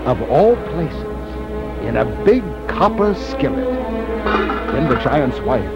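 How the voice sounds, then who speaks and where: Animated male storyteller over loud music. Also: on the high side, slow pace, creaky. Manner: expressive, dramatic storytelling. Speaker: narrator. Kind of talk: scripted children's storybook narration.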